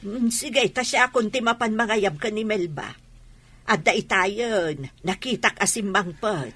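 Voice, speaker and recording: A woman's voice: medium pitch, a little slow, sharp tone, slightly rough. A woman playing a talkative, nagging village mother in a Filipino radio comedy-drama, with a Filipino accent and an emphatic, questioning delivery. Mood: attentive.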